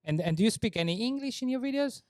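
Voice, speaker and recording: A man's voice: extremely high pitch, expressive, fast, a little mellow, no room sound. A man hosting a podcast, chatting casually and agreeing often with short interjections. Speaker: podcast host.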